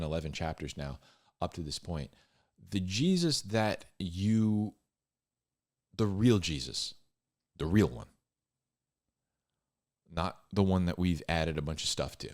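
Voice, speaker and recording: A man giving informal, off-the-cuff Bible commentary in a conversational, halting way, repeating phrases for emphasis. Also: sits low. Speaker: Bible storyteller and commentator, host.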